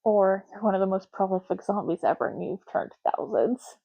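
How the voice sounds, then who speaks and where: A woman speaking softly and unhurriedly in an ASMR roleplay, playing a chatty, matter-of-fact official in short murmured phrases. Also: medium pitch, normal speed, vocal fry, husky, narrow, tinny audio. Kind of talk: soft-spoken ASMR roleplay.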